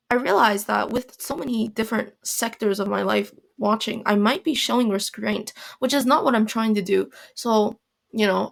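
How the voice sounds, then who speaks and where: A teenage girl talks alone into a home mic for her podcast. Her voice is hoarse and raspy, sitting at an ordinary mid pitch, and she rambles along with fillers, restarts and self-deprecating asides. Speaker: podcast host. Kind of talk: casual solo podcast monologue. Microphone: home recording mic.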